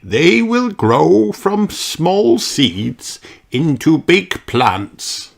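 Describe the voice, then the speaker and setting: A man's voice: on the high side, big pitch swings, very slow, creaky, pitched above the performer's own voice, exaggerated voice. A man voicing a kindly old grandfather pig in a children's storybook, patient, encouraging and instructive.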